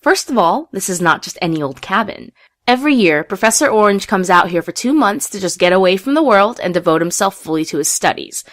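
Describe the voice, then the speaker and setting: A young female unicorn character acted by a woman with a medium-pitched voice. She is bookish and eager, explaining with theatrical enthusiasm as in a cartoon audio drama. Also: lively intonation, a little fast, natural voice. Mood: eagerly explaining. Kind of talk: fan audio drama acting.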